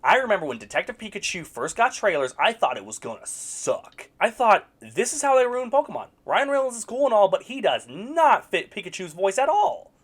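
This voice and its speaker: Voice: medium pitch, very animated, a little fast, hardly any pauses, soft background music. A movie reviewer giving a casual, opinionated critique, sarcastic and blunt, with occasional swearing.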